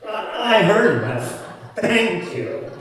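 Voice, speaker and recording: A pompous, dismissive theater director acted by a man, high-pitched and drawn out in delivery. He speaks with flamboyant, condescending airs in a radio comedy staged in a very echoey hall. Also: big pitch swings, takes it slowly, crowd noise, full character voice. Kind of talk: live old-time radio comedy acting. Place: community center, live stage show. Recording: echoey hall, far from mic.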